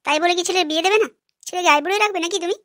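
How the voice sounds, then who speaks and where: A woman voicing a sharp-tongued, nagging village wife and mother in a comic cartoon. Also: very high-pitched, very fast, dry recording, studio quality. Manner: snappy, nagging.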